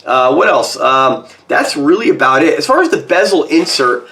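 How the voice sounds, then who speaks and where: A North American man in his forties, slightly hoarse from a cold, giving a casual, opinionated product review in a conversational, emphatic way. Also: a little high, sing-song, normal speed, pushed and bright. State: getting over a cold. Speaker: watch reviewer. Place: small home office, indoors.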